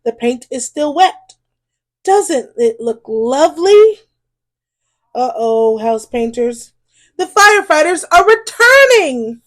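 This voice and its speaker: A woman reading a children's story aloud in an expressive way, drawing out key words for emphasis. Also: sits high. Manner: animated, drawn-out emphasis on exclamations and key phrases.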